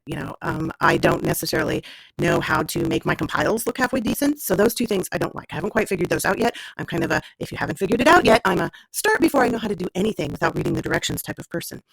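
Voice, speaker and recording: Low, creaky-voiced woman rambling casually. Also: no echo, rough recording. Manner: self-deprecating, informal, digressive. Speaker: author and podcast host reading own story. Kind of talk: casual rambling chat, then read-aloud storytelling. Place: at home in a lived-in room.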